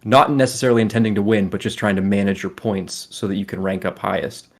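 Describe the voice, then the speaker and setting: A man's voice: on the low side, fast talker. A man co-hosting a casual gaming podcast, explaining things in a conversational way with some casual swearing. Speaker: podcast co-host.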